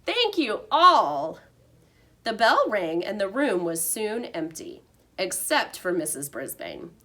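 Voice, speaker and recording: A woman reading a children's storybook aloud like a teacher, with expressive narration. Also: lowish voice, unhurried.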